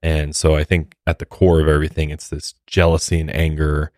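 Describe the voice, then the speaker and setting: A man's voice: rumbling low, monotone, fairly quick, darkish tone, no echo, studio quality. A man co-hosting a podcast, giving casual, opinionated commentary. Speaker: podcast co-host.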